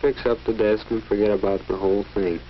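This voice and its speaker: An actor voicing a brooding teenage schoolboy's thoughts in a 1940s educational film, musing to himself with self-mockery. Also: male voice, lowish voice, flat delivery, fairly quick, lightly acted. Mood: musing, wry. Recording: muffled, noisy old 1940s film soundtrack.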